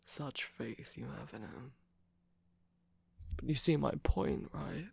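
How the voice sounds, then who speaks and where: A man acts out a jaded, teasing villain's minion in a romantic ASMR roleplay. He drawls at an unhurried pace, breathy and husky with a gravelly fry, often slipping into whispers. The recording is close and dry. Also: mid-range voice, lively intonation, a little mellow, dry recording, exaggerated voice. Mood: resigned, smug. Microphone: close microphone, dry sound.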